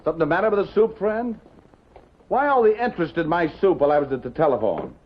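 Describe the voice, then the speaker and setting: An actor with an American accent playing a wry, unafraid city newspaper reporter in a 1950s television drama. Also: male voice, on the high side, very animated, fast. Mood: suspicious, sharp. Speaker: actor in lead role. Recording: dull 1950s TV soundtrack, music behind.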